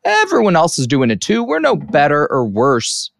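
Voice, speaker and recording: A man's voice: fairly high pitch, wildly swooping pitch, normal speed, creaky, no echo, clean studio sound. A man hosting an interview, talking in an earnest, explanatory way and often checking in with his guest. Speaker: interviewer, host. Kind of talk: conversational interview commentary.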